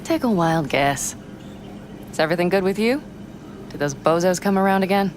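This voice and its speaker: A hot-headed young nomad woman acted by a woman in a game scene, swearing freely and speaking bluntly. Her voice is slightly low and very animated, with clear vehicle ambience behind it. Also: moderate pace, background noise, natural voice. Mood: relaxed. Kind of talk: video game cutscene acting.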